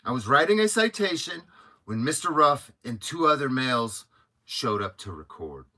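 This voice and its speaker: A man's voice: medium pitch, very animated, moderate pace, bright, forceful tone. A man, around his thirties, giving sarcastic commentary as he reads a document aloud for a video. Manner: mocking, sarcastic. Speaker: video host.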